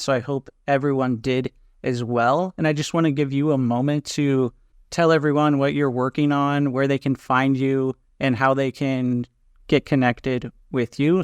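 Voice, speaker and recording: A man's voice: mid-range voice, steady tone, normal speed, clear, resonant tone, dry recording, clean studio sound. A man hosting a podcast interview, asking scripted questions in an upbeat way. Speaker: podcast host.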